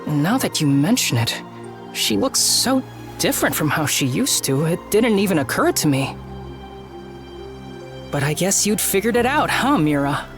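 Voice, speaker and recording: Laid-back observant young sidekick, lively intonation, faint music, game cutscene. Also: mid-range voice, measured pace, long pauses. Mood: musing, impressed. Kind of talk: video game cutscene acting.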